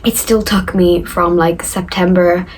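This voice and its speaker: A woman's voice: lowish voice, fairly even intonation, a little slow, slight background noise, poor audio. A young woman from northeast England, chatting casually as she answers viewer questions in a rambling vlog. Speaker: recovery vlogger.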